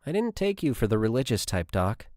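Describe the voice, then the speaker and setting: A man's voice: medium pitch, sing-song, brisk, a little mellow, no echo, studio quality, lightly acted. A man voicing a nervous young army private in an audiobook, with a shaky voice. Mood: uneasy.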